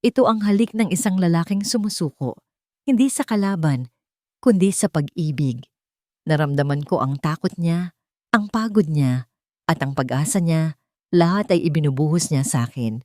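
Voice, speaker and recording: A woman's voice: low voice, lively intonation, normal speed, darkish tone, no room sound, clean studio sound. A woman with a Filipino accent narrating a scripted romance story in a dramatic storytelling style.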